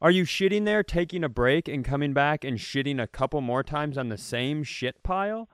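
A man with an American accent and a somewhat high voice tells stories on a comedy podcast. He rants with comic exasperation and acts out what people say. The sound is dry, with no room echo. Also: expressive, normal speed, fairly bright tone, clean tone. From Minnesota, United States. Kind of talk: casual comedic storytelling.

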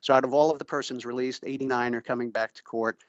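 A middle-aged man giving measured, formal and emphatic explanations as an expert guest in an interview. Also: medium pitch, steady tone, dry recording, highs cut off. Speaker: interviewee, pretrial services official and former prosecutor. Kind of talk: explanatory radio interview answers.

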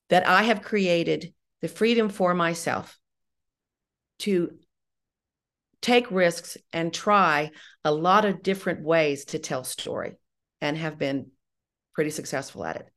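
Gravelly, low-voiced middle-aged woman. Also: animated, takes it slowly, long pauses. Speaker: guest, audio drama writer and director. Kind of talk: reflective interview talk.

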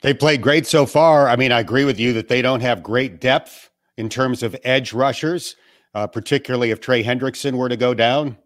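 A middle-aged man from western New York, a sports broadcaster talking calmly and knowledgeably as a guest over a video call from home. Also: medium pitch, no room sound, clean studio sound. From western New York, USA. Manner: measured, knowledgeable, plain-spoken. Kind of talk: casual podcast interview. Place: family room at home.

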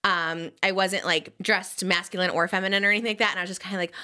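A woman hosting a podcast, chatting casually and giggling often in an animated way. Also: fairly low pitch, quick pace, clean tone. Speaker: podcast host. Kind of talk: casual chat.

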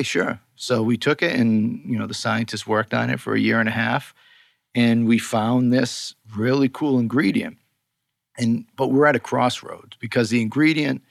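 Boston man, gravelly edge, dry close sound, conversational guest explaining his work. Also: mid-range voice, dry recording. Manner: explanatory, conversational, many "you know"s. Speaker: skincare company co-founder, guest. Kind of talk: casual interview talk.

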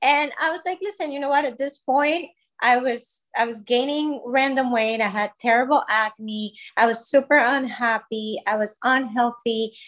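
A woman's voice: on the high side, moderate pace, a bit bright. A woman in her thirties from Colombia, telling her own story as a podcast guest in a candid, informal, conversational way. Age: 30s. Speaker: podcast guest, wellness business founder.

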